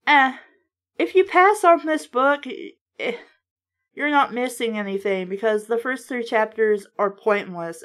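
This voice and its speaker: A woman's voice: a little high, a bit bright. A woman hosting a book review in a casual, blunt and exasperated way, swearing freely as she comments. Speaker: host of a book-review show. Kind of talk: casual reading aloud with blunt critical commentary.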